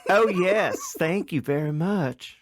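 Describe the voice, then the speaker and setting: Man putting on an eager, high-pitched young woman. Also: expressive, slow pace. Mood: polite, flustered. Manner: gushing, suggestive. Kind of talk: comic story reading.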